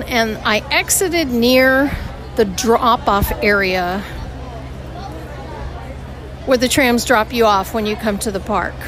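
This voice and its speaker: Slow-talking hoarse woman outdoors. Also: on the high side. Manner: slow, chatty storytelling. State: hoarse, tight throat. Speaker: podcast host. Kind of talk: casual theme-park audio diary. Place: outdoors on a theme park street. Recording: outdoor park recording with music and crowd. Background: band music and crowd.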